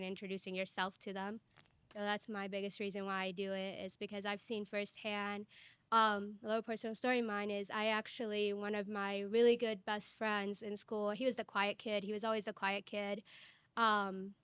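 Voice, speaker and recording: A woman's voice: medium pitch, fairly bright tone, clear, resonant tone, no room sound. A young woman from Egypt, a student panelist, speaking earnestly from personal experience in a panel discussion. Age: young adult.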